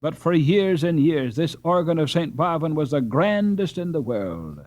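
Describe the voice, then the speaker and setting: A man voicing a brisk, knowledgeable Dutch boy who interprets and explains. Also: fairly high pitch, sing-song, darkish tone, dry recording. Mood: explaining. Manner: brisk, informative.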